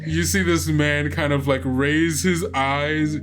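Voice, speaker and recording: A man narrating a tabletop game as game master in a halting, conversational, amused way. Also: fairly high pitch, steady tone. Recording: guitar music under the voice.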